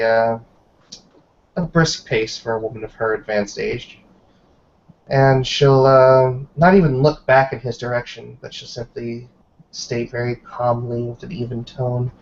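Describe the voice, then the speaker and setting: A man narrating a tabletop roleplay scene in a measured, descriptive way. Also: medium pitch, a little mellow. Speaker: roleplay game player narrating. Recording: dull, noisy online call audio.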